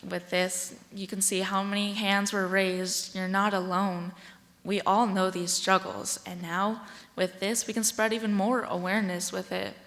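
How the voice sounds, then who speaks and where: A teenage young woman with an American accent gives an earnest, deliberate prepared speech to the public. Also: medium pitch, flat delivery, a bit bright. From Washington State, United States. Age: teens, about sixteen. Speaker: youth advocate and speaker. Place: large indoor hall, speaking from a stage. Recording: echoey hall with long reverb.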